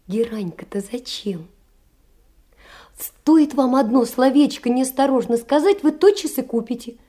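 A soft, mellow-voiced, tender young woman. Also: high-pitched. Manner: emotional, tender, often dropping to a breathy whisper. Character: poor, lonely, tender-hearted young seamstress writing letters. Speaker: stage actress. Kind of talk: theatrical audio-play monologue of letters.

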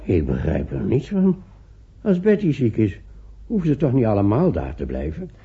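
A man's voice: medium pitch, huge pitch swings, normal speed, creaky, slightly rough, heavily acted. An actor playing a lonely, paralysed man in his seventies in a radio play, querulous and self-pitying, with plaintive swings from murmur to outburst. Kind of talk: radio play acting in Dutch. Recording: old radio play, narrow phone-like muffled sound.

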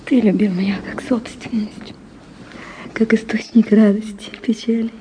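Woman acting mellow, tender suffering wife in an old love story radio play, emotional, slight background noise. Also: on the high side, fairly even intonation, moderate pace, lightly acted, poor audio. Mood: anguished, tender. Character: suffering, lovelorn married woman, tender and fragile. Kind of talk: Russian radio drama acting.

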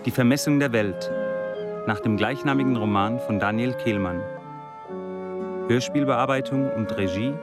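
A man giving a scripted credits announcement as an announcer. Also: lowish voice. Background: woodwind music.

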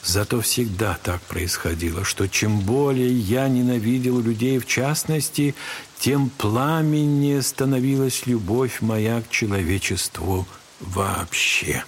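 An actor playing a gentle, wise elderly monk who counsels in a measured, softly admonishing way in a literary audio drama. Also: male voice, medium pitch, a little hiss or hum, close to an everyday voice. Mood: reflective, wry.

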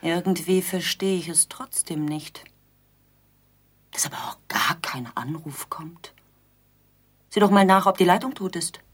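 An actress playing an aggrieved, nagging newlywed bride in an absurdist radio play, theatrical and pointed, with a husky voice. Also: female voice, lowish voice, measured pace, pauses often. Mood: puzzled, reproachful. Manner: theatrical, pointed, sardonic repetition.

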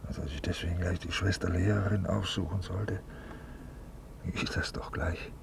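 An actor playing an anxious, irritable, self-important official in a 1950s radio play, muttering in clipped, peevish phrases. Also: male voice, low-pitched, fairly even intonation, moderate pace, vocal fry. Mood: weary muttering. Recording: noisy vintage 1950s radio recording.

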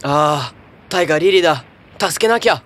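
A man's voice: on the high side, lively intonation, brisk, a bit bright. A man voicing a brave, carefree boy hero with an eager, youthful manner in a children's storybook cartoon. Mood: bold, eager.

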